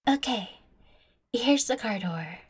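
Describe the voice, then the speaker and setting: A playful young catgirl girlfriend acted by a woman speaks in a fairly high voice at a very unhurried pace. She is affectionate and teasing, coaxing the listener tenderly in an intimate audio roleplay. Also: animated, slightly rough, full character voice. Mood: caring, guiding.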